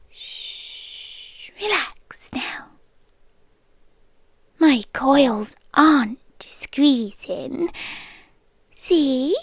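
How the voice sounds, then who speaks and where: An ancient, possessive spider-woman girlfriend, played by a woman, speaks in a high British voice that keeps slipping into breathy whispers. She is very slow and deliberate, doting yet quietly menacing. The ASMR roleplay is recorded close and dry, intimate in the ear. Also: dry recording, exaggerated voice. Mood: soothing, menacing. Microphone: close dry microphone.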